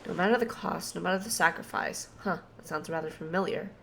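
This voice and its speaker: A woman's voice: lowish voice, brisk, creaky, a bit bright, slight background noise. A woman streaming a video game, reacting casually and expressively as she plays. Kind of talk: casual live gameplay reaction. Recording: game music behind the voice.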